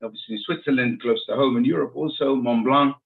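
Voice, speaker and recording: A man's voice: mid-range voice, fast, gravelly. A man from Israel, a rabbi and interview guest, telling stories conversationally, often repeating and rephrasing as he explains. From Israel, born and raised in Jerusalem. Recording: muffled phone-like narrowband audio.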